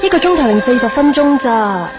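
An actress playing an affectionate young girlfriend who gently complains, coaxing and chatty in Hong Kong Cantonese radio drama. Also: high voice, lively intonation, fairly quick. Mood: playful. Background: background music.

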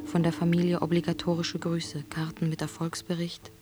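A woman reciting scripted radio drama narration in short, measured phrases. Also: on the low side, completely flat delivery. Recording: loud music with plucked guitar behind. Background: music, plucked guitar.

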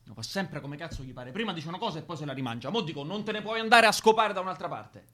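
Irritable young Roman militant for radio drama, lightish voice, lively swings, sarcastic and foul-mouthed. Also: male voice, on the high side, quick pace. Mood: irritated. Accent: Roman Italian. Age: young adult.

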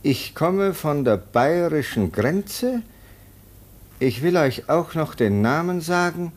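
An actor playing a stern, sceptical police lieutenant in a German radio drama, with a curt, probing, authoritative manner. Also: male voice, fairly high pitch, animated, slow pace. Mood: sceptical, assured.

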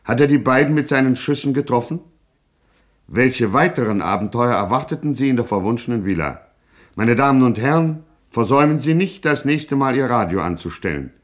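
A man's voice: medium pitch, lively intonation, a little fast, natural voice. A male actor playing a pompous radio serial announcer, narrating in a solemn, mock-sensational style.

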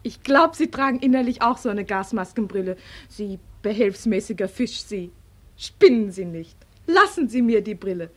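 A woman's voice: mid-range voice, animated, moderate pace, a bit bright. A woman playing a lonely soldier's wife in a 1940s radio drama, theatrical, swinging between teasing and tender pleading. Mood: playful mockery. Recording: old 1940s radio studio recording.

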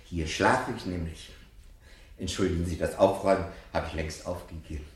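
An actor playing a timid, anxious old literature professor in a 1970s German radio play. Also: male voice, low voice, steady tone, normal speed, lightly acted. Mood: confiding. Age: older.

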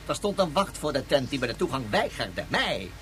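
Stuffy court official for radio drama: pompous, formal, mid-pitched, faint noise behind. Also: male voice, sing-song, normal speed, a bit bright. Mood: affronted. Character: stuffy, self-important male court official. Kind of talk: Dutch radio drama acting.

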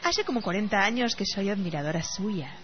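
A woman's voice: medium pitch, animated, fairly quick, lightly acted. A woman playing a chatty, gushing older housemaid and devoted fan in an Argentine radio play. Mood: starstruck. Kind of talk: amateur radio play acting. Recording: dull lo-fi radio recording.

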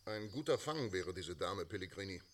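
An inquisitive gendarme in a radio drama. His voice is lowish and lively, heard from a little distance amid birds and crickets. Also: normal speed, a little hiss or hum. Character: curious gendarme questioning a colleague.

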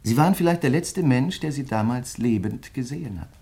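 An actor playing a grieving crime writer in a German radio play, speaking in a measured, reflective way with polite insistence. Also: male voice, mid-range voice, lively intonation, dark tone, lightly acted. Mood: troubled, brooding.

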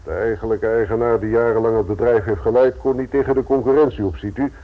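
An actor in a radio play voicing a brisk, guarded security firm manager, courteous but firm, with a husky voice. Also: male voice, mid-range voice, steady tone, close to an everyday voice. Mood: businesslike. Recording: tinny, narrow-band old radio recording.

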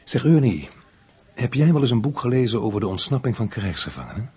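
Sceptical police inspector in radio play, husky, medium pitch, asks carefully. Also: male voice, sing-song, fairly quick, a little mellow. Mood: thoughtful.

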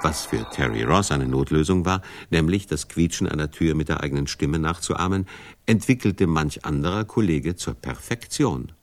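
A man's voice: a bass voice, lively intonation, moderate pace, a little hiss or hum. A man reading scripted narration for a radio documentary.

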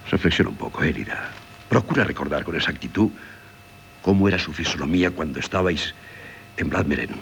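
An actor playing a troubled, reasoning middle-aged husband in a 1970s radio drama, with a Castilian accent. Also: male voice, very deep voice, lively intonation, brisk, gravelly, fairly bright tone, exaggerated voice. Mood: patient, reasoning. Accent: Castilian Spanish. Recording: hissy 1970s radio recording.

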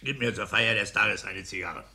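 Gravelly, blustering bossy husband. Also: male voice, fairly low pitch, normal speed, sharp tone, full character voice. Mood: brisk, businesslike. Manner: blustering, commanding, self-satisfied. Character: bossy, boastful, vigorous petty-bourgeois husband and official. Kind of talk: 1960s German radio drama acting. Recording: hissy 1960s radio play recording.

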